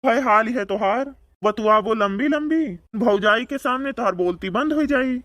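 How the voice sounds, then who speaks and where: A man's voice: very high-pitched, lively intonation, normal speed, fairly bright tone, no echo. A man voicing a boastful, bickering village man in a comedy cartoon, speaking with an Awadhi accent and taunting banter. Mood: mocking. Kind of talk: comedic cartoon dialogue in rural dialect.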